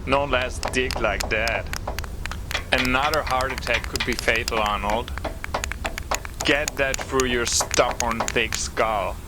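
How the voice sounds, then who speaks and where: A man's voice: mid-range voice, fairly even intonation, slow pace, heavy background noise, lightly acted. Man playing a firm, cautious archaeologist. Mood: stern concern. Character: cautious, firm male archaeologist, scolding but caring. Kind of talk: amateur audio drama acting. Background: loud music.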